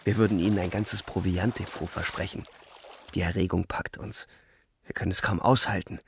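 Gravelly, world-weary young soldier narrator. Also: male voice, low voice, fairly even intonation, moderate pace, heavily acted. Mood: wistful, restrained excitement. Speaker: first-person narrator. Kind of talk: literary radio drama narration.

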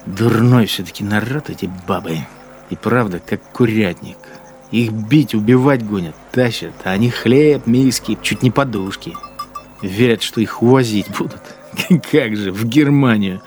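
An actor playing a bitter, spiteful wartime machine-gunner in a radio drama, grumbling with sardonic scorn. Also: male voice, fairly low pitch, unhurried, darkish tone, faint music behind, lightly acted. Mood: sardonic musing. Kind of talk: radio drama interior monologue.

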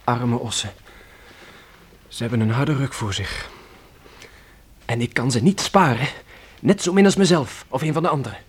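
A man's voice: medium pitch, normal speed, long pauses, slightly rough, natural voice. An actor playing an earnest, dutiful fourteen-year-old pioneer boy in a radio play, polite and slightly hesitant. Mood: weary, resolute. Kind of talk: Dutch radio play acting.

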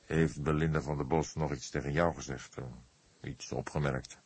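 A man playing a weary, probing old police detective with measured questioning in an audiobook. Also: rumbling low, fairly even intonation, a little mellow, slightly rough, dry recording. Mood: inquiring.

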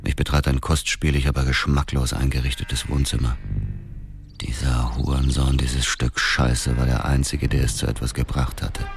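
A man's voice: rumbling low, little pitch movement, moderate pace. An actor playing an embittered avenger who narrates his revenge in a radio drama with detached contempt. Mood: cold, contemptuous. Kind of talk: scripted first-person radio drama narration.

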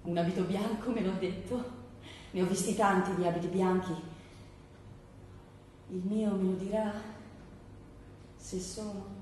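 A woman's voice: mid-range voice, steady tone, measured pace, long pauses, a little mellow, pitched below the performer's own voice, natural voice. A woman playing an over-agreeable, desperate job applicant in her thirties, gushing and repetitive in a theatrical satirical monologue. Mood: yearning. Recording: very echoey stage, distant mic, faint noise.

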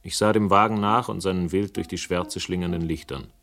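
A world-weary man narrating a radio crime story, in a very low voice over music. His delivery is laconic, literary and dryly ironic. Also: fairly even intonation, a little fast, fairly bright tone. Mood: reflective, dry. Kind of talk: scripted radio drama narration.

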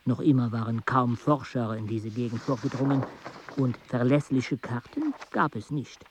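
A man narrating a radio play in a measured, even storytelling manner. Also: medium pitch, noisy background. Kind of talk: scripted narration.

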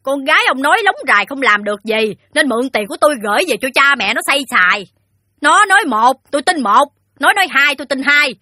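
A bullying, shrewish middle-aged loan-shark woman in an audio drama. Her Southern Vietnamese voice is piercing and sharp, swinging wildly in sneering indignation, in a dry recording. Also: sits high, lively intonation, fast talker, dry recording. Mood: shrewish, indignant. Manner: sneering, shrewish, haughty scolding. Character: fat, shrill, sour loan-shark madam, crude and bullying. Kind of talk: audio story drama dialogue.

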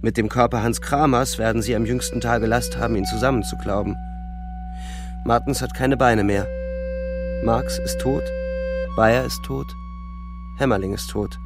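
An actor playing a weary young German frontline soldier, narrating the war in a grim, terse literary radio-drama style. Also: male voice, medium pitch, fairly even intonation, moderate pace, pauses often, over music, natural voice. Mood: bleak, bitter.

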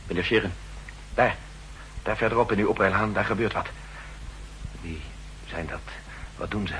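Wary official in a secret plot, really low voice, formal, old radio drama. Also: male voice, moderate pace, bright, forceful tone. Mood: anxious. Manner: formal, courteous, uneasy. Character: nervous, suspicious male official plotting in secret. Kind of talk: 1960s Dutch radio play acting. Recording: noisy old 1960s radio recording.

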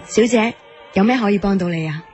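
Silk shop saleswoman, played by a woman: young, courteous, affable, Hong Kong Cantonese, radio drama over clear music. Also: mid-range voice, brisk, darkish tone, close to an everyday voice. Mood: welcoming. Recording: music behind the voice.

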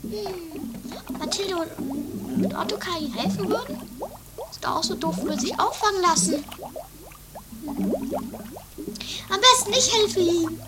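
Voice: very high-pitched, expressive, unhurried, long pauses. A performer playing a scatterbrained, dramatic woman who stammers and bluffs in an improvised trash comedy radio play. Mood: pondering. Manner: stammering, flustered, loses her train of thought. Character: Doris, scatterbrained, shrill, bluffing dramatic sister. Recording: noisy amateur radio play recording.

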